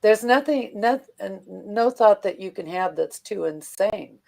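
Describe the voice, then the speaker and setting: An older American woman as a guest commentator, talking politics conversationally in a halting, blunt manner. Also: medium pitch, expressive, gravelly, mellow.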